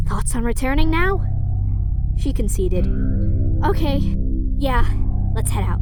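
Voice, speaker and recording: A young woman narrating a student audio drama in an expressive, lively storytelling manner. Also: fairly high pitch. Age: young adult. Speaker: narrator and voice actor.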